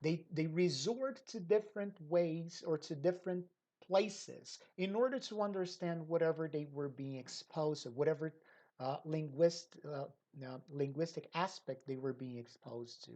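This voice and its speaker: A woman's voice: deep voice, normal speed, dark tone. A woman teacher trainer giving an unscripted explanatory lecture, stressing and repeating key points and often checking in with listeners. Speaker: teacher trainer hosting an educational episode.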